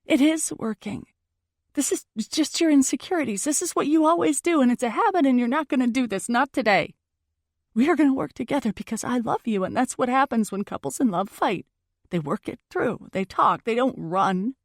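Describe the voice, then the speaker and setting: A woman's voice: medium pitch, lively intonation, a little slow, gravelly, hardly any pauses, fairly bright tone, no echo, clean studio sound, exaggerated voice. A woman voicing a heartbroken, wounded and furious young woman in first-person audiobook dialogue, emotional and emphatic. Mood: desperate, insistent.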